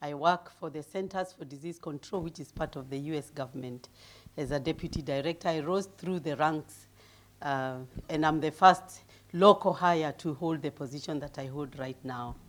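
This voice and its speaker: Middle-aged woman preacher from Kenya, deep voice, builds to loud emphatic reading. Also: moderate pace. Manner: grows loud and emphatic when reading scripture; humble, laughs easily. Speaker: guest preacher. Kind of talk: sermon.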